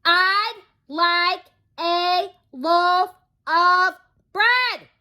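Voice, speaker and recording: A woman reading a children's story, voicing a loud man who booms and shouts every request. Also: extremely slow, full character voice. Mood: booming. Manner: booming, shouted lines.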